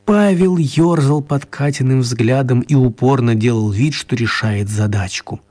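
A man narrating a children's radio play as an expressive, wry storyteller. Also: medium pitch, measured pace, natural voice. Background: music.

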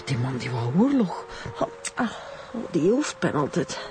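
A woman's voice: low-pitched, big pitch swings, background noise. An actress playing a dazed, distressed woman witness in a radio drama, faltering, with a Flemish accent. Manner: halting, faltering. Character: dazed, distressed woman witness after a road-rage incident. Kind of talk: emotional radio drama acting.

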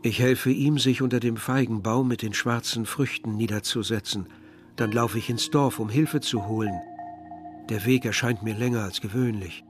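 An actor playing a young villager who tells his story in a measured, literary way, as narration in a radio drama. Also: medium pitch, steady tone, lightly acted. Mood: dreamlike, troubled. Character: young Algerian villager narrating a grim search for remains. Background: music.

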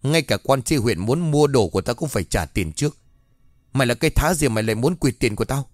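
A man's voice: medium pitch, animated, fast talker, dry recording, studio quality, lightly acted. A man voicing an arrogant, greedy rich old man who talks down with scorn. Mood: furious. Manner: scornful, shouting.

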